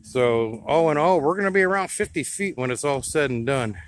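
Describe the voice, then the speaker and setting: A man's voice: a little high, lively intonation, a little fast, slight background noise. An American man narrating a hobby vlog in a casual, plain-spoken, informal way. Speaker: radio hobbyist vlogger.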